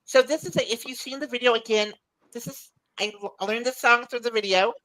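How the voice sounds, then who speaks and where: A middle-aged woman with a bright, ringing tone and a slightly high voice, worn hoarse. She chats eagerly as a podcast panelist, restarting and repeating words as she goes. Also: brisk, low-quality recording. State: losing her voice, hoarse. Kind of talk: casual chat.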